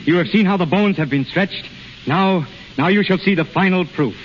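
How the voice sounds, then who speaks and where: A man's voice: high voice, moderate pace, lightly acted. An actor in a 1930s radio drama playing a proud, tormented healer, urgent and commanding, often repeating his words. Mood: agitated showmanship. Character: intense, proud, tormented male self-taught healer. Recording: noisy, dull 1930s radio recording.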